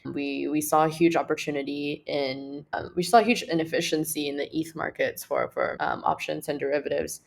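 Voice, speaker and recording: A woman's voice: deep voice, fairly quick, gravelly, hardly any pauses. A young woman from Malaysia, a startup co-founder, chatting casually as an interview guest with lots of fillers and restarts. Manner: relaxed, many fillers and restarts.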